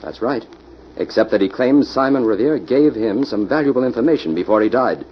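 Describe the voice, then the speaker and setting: A man's voice: mid-range voice, expressive, a little fast, natural voice. A man playing a confident, authoritative city detective in an old-time radio drama. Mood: measured. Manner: authoritative, clipped instructions. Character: confident, commanding male city detective hero. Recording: muffled old radio recording, faint noise.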